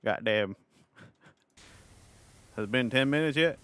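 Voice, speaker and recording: A man's voice: mid-range voice, a little slow, fairly bright tone, no room sound. A man from the United Kingdom putting on an American Southern cowboy accent, chatting casually and self-consciously to a livestream audience with plenty of swearing. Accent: put-on American Southern cowboy drawl. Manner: self-conscious, profane, playful rambling in a put-on accent. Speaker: livestreamer talking to chat.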